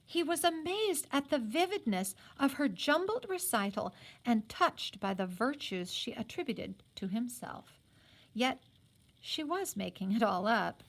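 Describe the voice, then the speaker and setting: A woman reading a novel aloud as a teacher would, in an expressive storytelling style. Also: a little high, measured pace. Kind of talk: expressive story read-aloud with brief explanatory asides.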